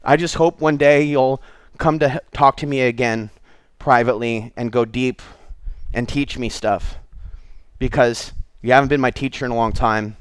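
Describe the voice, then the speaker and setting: A man's voice: mid-range voice, fairly even intonation, moderate pace, clean tone, dry recording. A middle-aged American man giving an unscripted, rambling monologue to his viewers, candid and confiding as he wanders between thoughts. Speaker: host of his own online show.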